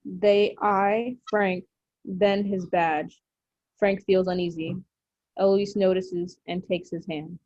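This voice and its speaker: A woman's voice: medium pitch, steady tone, takes it slowly, a little mellow, no room sound. A woman reading stage directions as scripted narration at a drama table read. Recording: dry, muffled lo-fi audio.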